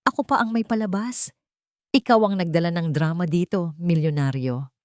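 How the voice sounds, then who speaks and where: A woman's voice: fairly low pitch, normal speed, a little mellow, no room sound, clean studio sound, close to an everyday voice. A woman voicing a smug, mocking male villain in a romance story, with a taunting manner.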